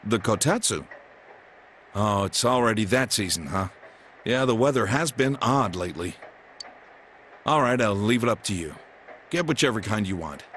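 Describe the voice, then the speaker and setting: Gruff, low-pitched detective dad. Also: male voice, expressive, normal speed, long pauses, fairly bright tone, a little hiss or hum. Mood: relaxed, fatherly. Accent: American. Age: middle-aged. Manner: firm, blunt, interrogating. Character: stern, gruff middle-aged detective father, protective and suspicious. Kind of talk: English video game voice acting.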